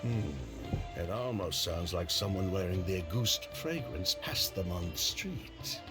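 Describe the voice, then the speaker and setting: A man's voice: deep voice, expressive, a little slow, over music. An actor playing a suave, playful, gallant male merchant in a video game, with a theatrical, easygoing manner. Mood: thoughtful.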